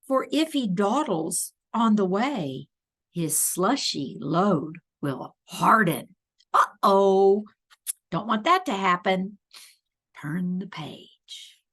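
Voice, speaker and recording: A woman reading a children's picture book aloud expressively, with deliberate emphasis and playful little asides to the young listener. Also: medium pitch, a bit bright.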